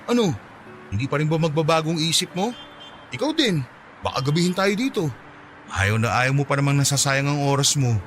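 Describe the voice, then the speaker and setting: An actor playing an eager, caring, shyly smitten young office worker in a Filipino radio drama, with a Filipino accent. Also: male voice, a little high, very animated, brisk, long pauses, fairly bright tone, slight background noise. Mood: cheerful, persuading. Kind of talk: radio drama acting in Taglish.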